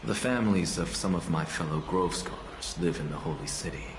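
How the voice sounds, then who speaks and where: Haughty scholar prof in a game, smug and dismissive, fairly low voice. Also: male voice, fairly quick, reverberant, noisy background. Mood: aloof. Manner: dry, self-assured and dismissive. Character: haughty scholar professor Anaxa, aloof and sardonic. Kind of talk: video game voice acting.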